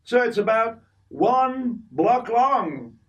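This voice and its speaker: A man's voice: very high voice, sing-song, drawn-out words, far from the mic, lo-fi sound, pitched above the performer's own voice, exaggerated voice. A man voicing a blunt, bossy middle-aged farm wife from the Outback. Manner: blunt, matter-of-fact.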